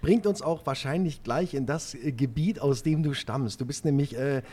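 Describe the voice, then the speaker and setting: A man's voice: medium pitch, normal speed, warm tone. A man hosting a club podcast interview, enthusiastic, often stumbling over and repeating words. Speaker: podcast host.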